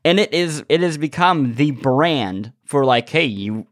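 A man's voice: a little high, lively intonation, unhurried, dry recording, clean studio sound. A man hosting a casual podcast discussion, thinking aloud hesitantly and correcting himself as he goes. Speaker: podcast host.

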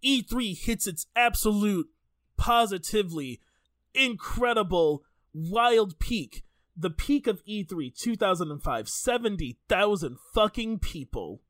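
A man's voice: high voice, animated, measured pace, fairly bright tone, no room sound. A man hosting a casual podcast, telling stories in an informal, jokey, sweary way. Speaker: podcast host. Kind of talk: casual chatty podcast storytelling.

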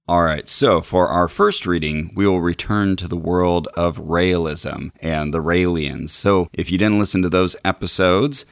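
A man's voice: low-pitched, a bit bright, dry recording, studio quality. A man co-hosting a podcast, chatting and reading book passages aloud. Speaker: podcast co-host.

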